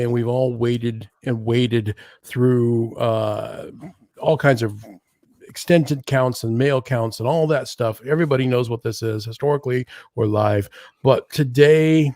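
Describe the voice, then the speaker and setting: A man's voice: mid-range voice, unhurried, dark tone, no echo, clean studio sound. A man co-hosting a live talk show who lays out the news with wry asides. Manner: explanatory, wry. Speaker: co-host. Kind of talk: live casual chat.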